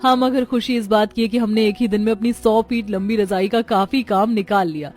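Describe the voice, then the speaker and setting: A woman's voice: mid-range voice, fairly even intonation, moderate pace, a little mellow, faint music behind, natural voice. A woman playing a poor, dutiful, resourceful young daughter-in-law in a cartoon. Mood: practical.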